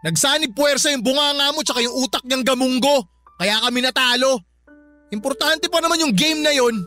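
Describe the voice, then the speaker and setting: Sharp-tongued, bossy young boyfriend, high bright voice. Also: fairly quick. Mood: angry. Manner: insulting, bossy, sarcastic. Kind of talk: radio drama acting. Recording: radio drama with faint background music.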